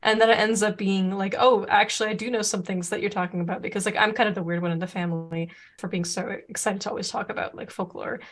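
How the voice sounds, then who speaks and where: A woman's voice: mid-range voice, fairly even intonation, quick pace, hardly any pauses. A woman co-hosting a podcast in casual chat, rambling with many restarts, whose first language is Serbo-Croatian. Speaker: podcast co-host.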